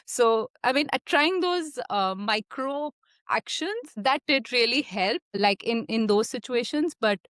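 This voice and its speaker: A woman on an online panel sharing a reflective personal account, halting and full of fillers, laughing often, over a video call. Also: a little high, lively intonation, a bit bright, no echo. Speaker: panel participant.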